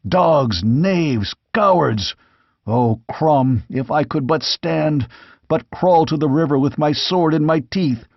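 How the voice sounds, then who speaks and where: A man's voice: fairly high pitch, lively intonation, takes it slowly, no echo, pitched above the performer's own voice, full character voice. A wounded barbarian king pants out furious, defiant curses, as a man reading the story puts him on. The voice sits close to the reader's own. It is dry and close, with a dull, lo-fi tone. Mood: raging. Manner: gasping, furious outbursts. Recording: dull lo-fi recording.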